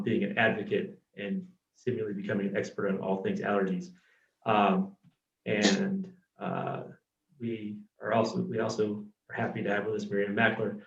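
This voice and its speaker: Man hosting a webinar, low gravelly voice, distant. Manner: relaxed, with frequent ums and restarts. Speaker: webinar host from an organization's programming team. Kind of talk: introducing a webinar. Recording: roomy, distant, dull video-call audio.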